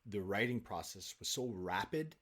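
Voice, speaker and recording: A man speaking as an interview guest in casual, enthusiastic conversation, telling stories in an animated way. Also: fairly low pitch, moderate pace, breathy edge.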